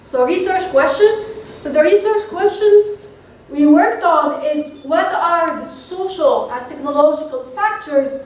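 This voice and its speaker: High-pitched woman presenting in an echoey room. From Morocco. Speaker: researcher presenting a paper. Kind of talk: conference presentation. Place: conference room. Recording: echoey room, distant mic, faint noise.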